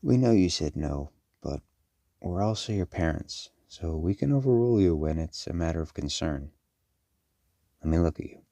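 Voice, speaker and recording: A man playing a firm, protective werewolf father in an audio roleplay, speaking to a child in a measured, caring but stern way. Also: low-pitched, lively intonation, dry recording. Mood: stern concern. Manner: measured, parental, gently firm.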